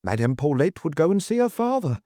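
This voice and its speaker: A man's voice: fairly high pitch, sing-song, fairly quick, dark tone, no echo, clean studio sound, pitched above the performer's own voice. A man voicing a nervous, cautious male bookkeeper who gives guarded answers in an audiobook. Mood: guarded, uneasy. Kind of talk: audiobook character dialogue.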